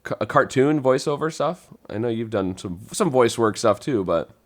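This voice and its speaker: A man hosting a relaxed, conversational group discussion as a podcast host. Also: mid-range voice, moderate pace. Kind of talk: casual group chat.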